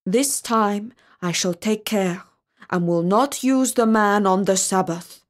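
Storybook rabbi, a firm and cautious man, solemn formal phrasing, slow delivery played by a female narrator. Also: high-pitched. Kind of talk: storybook character voice.

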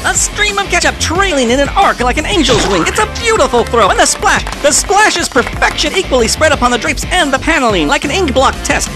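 An excitable parody sports commentator , played by a performer, with a high and very animated voice. The play-by-play is florid and dramatic, with music running underneath. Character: excitable mock sports desk reporter.

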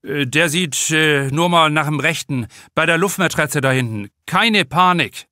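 A man's voice: fairly high pitch, measured pace, sharp tone, dry recording, clean studio sound. A man voicing a nervous, stammering young male lifeguard in an audiobook. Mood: panicky. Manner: hesitant, stammering. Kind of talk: audiobook character dialogue.

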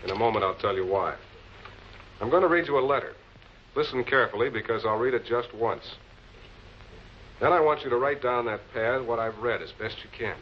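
Male prosecutor played by a male actor, vintage crime drama. Authoritative, deliberate delivery, dull noisy film audio. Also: medium pitch, close to an everyday voice. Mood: commanding. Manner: measured, commanding, deliberate. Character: composed, authoritative male public prosecutor cornering murder suspects. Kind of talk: 1940s television crime drama acting. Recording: muffled, noisy old film soundtrack.

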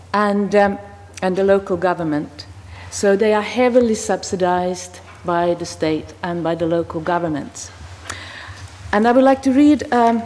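A woman in her fifties with a Finnish accent, giving a careful prepared talk on a panel and pausing over her words with small fillers. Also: mid-range voice, darkish tone. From Finland. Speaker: panel speaker. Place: committee room in a parliament building. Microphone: desk microphone. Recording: long reverb in a large room.

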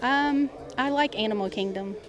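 A woman's voice: on the high side, normal speed, crowd noise. A middle-aged woman giving short, casual interview replies as a visitor, recorded indoors.

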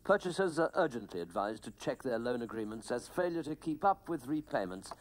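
A man's voice: mid-range voice, very animated, fairly quick, noisy background, natural voice. A man playing a grave, formal cartoon newsreader delivering a scripted bulletin. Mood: solemn. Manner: formal, solemn, official. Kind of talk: scripted news bulletin parody.

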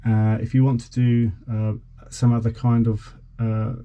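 A man's voice: medium pitch, monotone, measured pace, mellow, dull audio. A man in his forties giving an informal, slightly hesitant explanation as he demonstrates equipment in a vlog. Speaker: vlogger. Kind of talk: informal demonstration of a boat radio. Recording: clearly noisy background.

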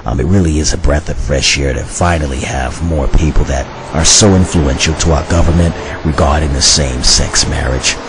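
An actor playing an earnest male community spokesman, halting and appreciative. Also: very deep voice, background noise.

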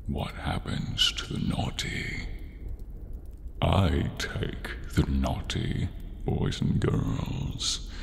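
A man playing a tall horned demon in an ASMR roleplay, breathy and gravelly, menacing and theatrical with a comic edge. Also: rumbling low, wildly swooping pitch, extremely slow, a little hiss or hum, exaggerated voice. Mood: hushed menace. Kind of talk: ASMR roleplay, slow dramatic delivery.

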